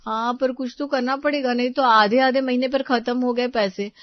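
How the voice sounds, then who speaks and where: A harried young daughter-in-law, played by a woman storyteller, thrifty and exasperated. She explains and protests in a fairly high voice, on a slightly dull recording of a family story. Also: fairly even intonation, normal speed, no room sound, lightly acted. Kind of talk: Hindi moral-story character dialogue.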